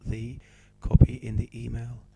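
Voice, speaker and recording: Croaky teacher with a cold. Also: male voice, mid-range voice, completely flat delivery, a little slow, warm tone. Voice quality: croaky, slips into whispery breaks. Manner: patient, explaining step by step. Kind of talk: homework help walkthrough.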